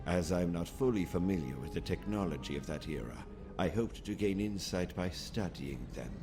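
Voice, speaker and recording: Low, measured alien naval officer. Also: male voice, lively intonation, music in the background. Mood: calm, deferential. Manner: formal, measured, precise. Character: calm, calculating alien male naval officer. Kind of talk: audio comic drama acting.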